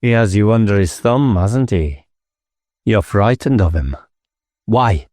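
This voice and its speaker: A man's voice: lowish voice, expressive, slow pace, darkish tone, no echo, studio quality. A man voicing a dogged, blunt police inspector in an audiobook, ruthless and insistent, as if always interrogating. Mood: threatening. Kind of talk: audiobook character acting.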